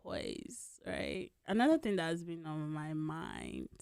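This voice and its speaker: A woman's voice: deep voice, huge pitch swings, slow pace, creaky, darkish tone, clean tone, dry recording, clean studio sound. A woman in her twenties, co-hosting a podcast in casual chat, sometimes slipping into a girlish baby voice and laughing often. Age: 20s. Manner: chatty, laughs often, trails off and restarts. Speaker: podcast co-host.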